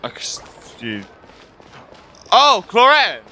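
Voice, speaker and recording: A woman's voice: mid-range voice, wildly swooping pitch, takes it slowly, pushed and bright. A woman streaming a game with casual live reactions, muttering asides and repeating her phrases. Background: faint game music.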